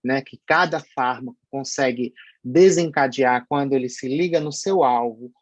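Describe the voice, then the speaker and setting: A lecturer from Paraíba with a Northeastern Brazilian accent, giving an online university class in a hesitant, explanatory way with many fillers and restarts. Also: male voice, medium pitch, normal speed, dry recording, lo-fi sound. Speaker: pharmacology lecturer.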